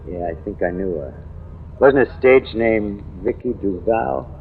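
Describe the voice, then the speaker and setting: A man's voice: fairly low pitch, measured pace, gravelly. A man playing a cocky, knowing American newspaperman in old-time radio drama, insistent and slangy in his talk. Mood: wry, familiar. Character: cocky, hard-drinking newspaperman theorizing about a killer. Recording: tinny, noisy vintage radio recording.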